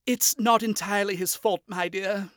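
A man's voice: high voice, big pitch swings, normal speed, no room sound, pitched above the performer's own voice. A man putting on a proper, well-bred male demon prince of owls who speaks in a raised, lilting voice that swoops up and down. He talks formally and likes to lecture. The delivery is close and dry, with no room sound. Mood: apologetic. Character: fussy refined male owl demon prince.

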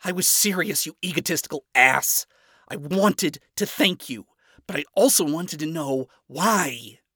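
A man's voice: a little high, expressive, measured pace, bright, forceful tone, breathy edge, no echo, clean studio sound, pitched above the performer's own voice, full character voice. A man voicing a proud, irritable devil father in a story, speaking in a wounded, defensive way. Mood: defensive, irritated. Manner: indignant, defensive.

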